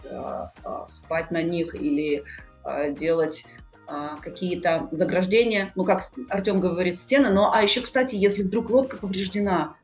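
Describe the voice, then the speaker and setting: A woman's voice: on the low side, a little mellow. Woman podcast host chatting through ideas, kinda searching for words, music playing under her. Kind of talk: casual discussion, explaining ideas.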